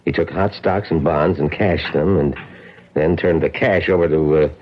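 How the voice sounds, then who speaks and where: An actor playing a cornered, stammering impostor in a vintage radio drama. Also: male voice, extremely low pitch, normal speed. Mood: wary. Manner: hesitant, stammering. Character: cornered male impostor hiding behind a dead man's identity. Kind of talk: 1970s radio drama acting. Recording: muffled old radio broadcast.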